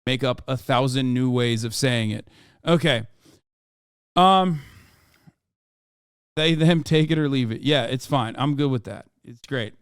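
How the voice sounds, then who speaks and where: A man's voice: mid-range voice, unhurried, long pauses, smooth, no room sound. A man in his thirties whose first language is Turkish, streaming a blunt, profane, exasperated reaction rant into a close mic. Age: 30s. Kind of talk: casual profane reaction commentary.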